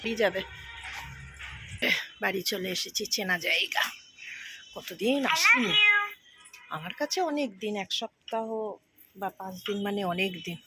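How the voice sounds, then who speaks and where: A woman's voice: medium pitch, sing-song, unhurried, long pauses, pushed and bright, soft background music. A woman chatting casually and informally on the go, as in a daily family vlog. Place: out and about in streets and shops. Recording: noisy handheld vlog audio.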